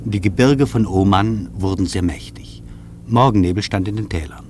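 A man acting a reflective air traveller in a radio play, narrating his travelogue in a measured, contemplative way. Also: fairly low pitch, noisy background, close to an everyday voice. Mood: pensive. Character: reflective male air traveller observing from a plane.

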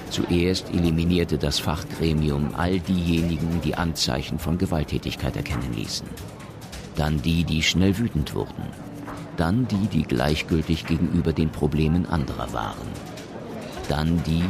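Very deep, gravelly radio drama narrator. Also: male voice. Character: sober male narrator of a science fiction story. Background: music.

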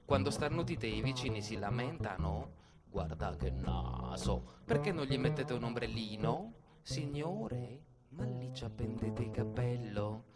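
A man acts out a brash mocker in a stage play. He declaims his jeers loudly and with relish, at a measured pace, over prominent music. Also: medium pitch, lively intonation, natural voice. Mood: mocking. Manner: loud, taunting, declamatory. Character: brash, mocking heckler jeering at an enormous nose. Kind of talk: stage monologue theatre.

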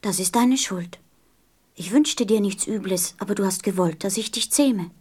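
A woman's voice: mid-range voice, normal speed, darkish tone, husky, close to an everyday voice. A woman playing a tender, innocent little boy prince in a radio play, speaking earnestly and carefully. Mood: sad, reproachful.

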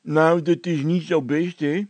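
A man's voice: on the high side, flat delivery, unhurried, a little mellow, no room sound, voice pushed up. A man voicing a sheepish, anxious workman with a timid, plaintive way of talking in a read-aloud comic story. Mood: admiring.

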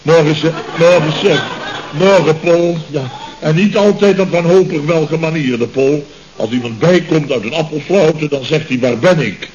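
An actor playing a blustery, exasperated middle-aged company boss in a radio comedy serial, repeating himself and stammering when flustered. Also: male voice, on the high side, normal speed, a little mellow, lightly acted. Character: blustering, exasperated male boss of a building firm. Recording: muffled, noisy old radio recording.

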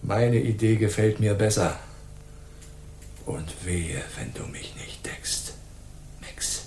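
Menacing crooked cop, deep voice, echoing. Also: male voice, slow, darkish tone, in a whisper. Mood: sneering menace. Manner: sneering, threatening, slangy. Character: menacing, bitter crooked police detective, bony killer cop. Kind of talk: 1980s German radio crime drama acting. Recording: roomy, echoing studio sound.

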